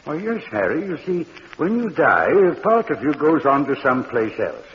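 Sweet old morgue guy, high lilting voice, echoey muffled old radio. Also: big pitch swings, moderate pace. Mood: earnest. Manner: soft, kindly, earnest and patient. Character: gentle old male morgue keeper who talks with the dead. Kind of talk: 1940s radio drama acting. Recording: dull old radio recording in a reverberant studio, faint hiss.

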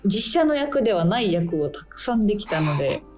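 A woman's voice: mid-range voice, sing-song, fairly quick, darkish tone. A woman, an actress guesting on a radio show, chatting casually in a polite, cheerful way. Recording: music playing under the talk. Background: background music.

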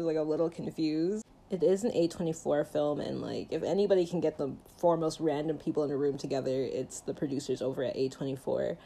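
A woman's voice: sits low, warm tone. A woman giving a casual, chatty film review in a jokey, conversational way, peppered with "like". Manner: jokey, conversational, uses filler "like" often. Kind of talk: casual chatty movie recap and commentary.

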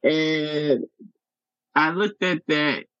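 A man in his late thirties, chatting casually and reflectively, laid-back and quick to laugh. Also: a little high, steady tone, fairly bright tone, clean tone, no echo. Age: 30s. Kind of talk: casual heartfelt conversation. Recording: dull lo-fi sound.

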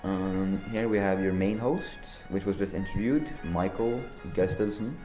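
A teenage boy from Norway hosting a joking school podcast, talking in deadpan, slangy banter. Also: low-pitched, unhurried, roomy sound, dull audio, soft background music. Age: teens. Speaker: podcast host. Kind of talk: joking student podcast interview.

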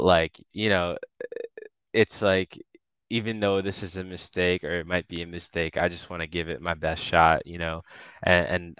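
A man's voice: low voice, fairly bright tone, clean tone, dry recording, dull audio. A young man from the Washington, D.C. area, a guest rapper talking casually in a podcast interview, laid-back, with frequent laughs and fillers. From Washington, D.C. area, USA.

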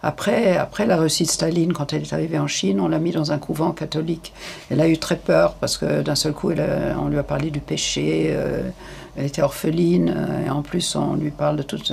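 An older woman giving frank, hesitant interview answers, with many pauses and fillers. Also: sits low, fairly even intonation, gravelly, a little mellow, slight background noise.

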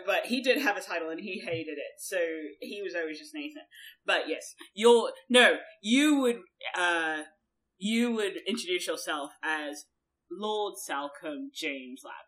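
Bright-voiced woman with a mixed accent. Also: on the low side, rough recording. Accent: mixed German-American-English. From Germany. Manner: chatty, explaining, swears casually. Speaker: podcast co-host. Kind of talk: casual chat.

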